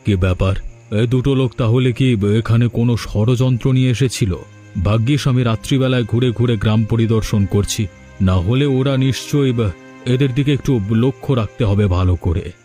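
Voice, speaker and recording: A man plays a grown village man in a cartoon. His voice is mellow and dark, medium in pitch. Faint music runs behind the dialogue. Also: normal speed, close to an everyday voice. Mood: suspicious.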